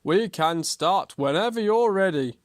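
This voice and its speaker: A woman's voice: fairly low pitch, animated, a little slow, no room sound, studio quality, voice pushed up. Woman doing a storybook bear making announcements. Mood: businesslike. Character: bear announcing a race to competitors. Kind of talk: children's storybook character voice.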